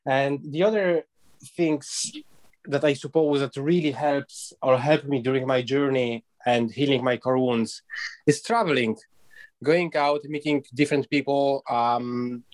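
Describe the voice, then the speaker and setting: A man speaks as a guest on a reflective panel. His voice sits at a middle pitch, and he talks in a thoughtful, hesitant way, stopping often to search for the right words. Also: fairly even intonation, a little slow. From Poland. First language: Polish. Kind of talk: reflective discussion.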